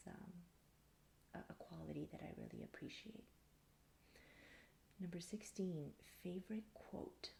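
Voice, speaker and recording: A woman in her mid thirties speaking in a soft, breathy near-whisper, calmly and without hurry, answering personal questions in an ASMR style. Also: deep voice. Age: thirty-four. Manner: calm, unhurried. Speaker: ASMR video creator. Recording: faint background hiss.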